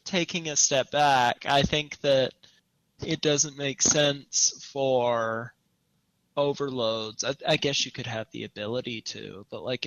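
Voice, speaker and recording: Bright-voiced man in casual podcast chat. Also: mid-range voice, no echo, dull audio. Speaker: podcast co-host.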